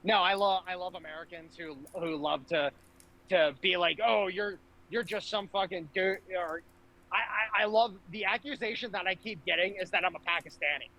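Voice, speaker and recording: A man's voice: sits high, moderate pace, pushed and bright, low-quality recording. A man giving casual political commentary as a podcast host, speaking in a brash, blunt, profanity-laced way.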